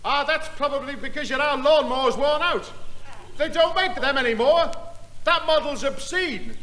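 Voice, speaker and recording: A man's voice: very high-pitched, moderate pace. A man playing a pompous neighbour who mangles long words in a 1960s radio comedy. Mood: genial. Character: bumbling middle-aged male neighbour, muddles big words. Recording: hissy radio recording, loud studio audience.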